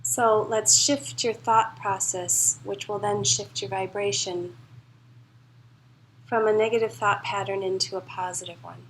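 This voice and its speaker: A woman guides a relaxation session in an unhurried, soothing manner. Her voice sits at a middle pitch and reassures the listener as she instructs. A light layer of background noise runs under the recording. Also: steady tone, low-quality recording. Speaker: meditation and yoga teacher. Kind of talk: guided meditation, spoken live to a class.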